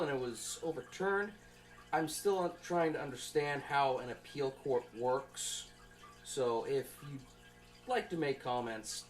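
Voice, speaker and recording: A young man giving an informal student presentation from notes, in a halting, conversational way. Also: fairly high pitch. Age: young adult.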